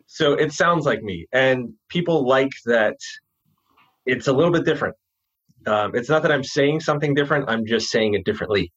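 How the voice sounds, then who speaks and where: Jersey-accented male guest, husky, casual and chatty, slightly muffled lo-fi audio. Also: medium pitch, normal speed. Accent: New Jersey. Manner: relaxed, chatty, drops his g's. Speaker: copywriter, guest. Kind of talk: casual interview talk.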